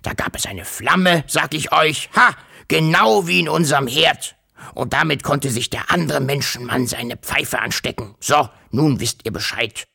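A man's voice: fairly high pitch, measured pace, gravelly, pushed and bright, breathy edge, voice pushed up, heavily acted. A man voicing a grumpy, whiny, demanding uncle creature in a children's story, complaining and self-important. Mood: irritated, lecturing. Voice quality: grunting.

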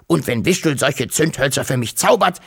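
A bad-tempered uncle creature from a children's storybook, played by a man. He grumbles and whines with self-important impatience, his pitch jumping around in lively swings. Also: normal speed, creaky, husky, full character voice. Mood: irritated, lecturing. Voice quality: grunting. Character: grumpy, whiny, demanding male uncle creature.

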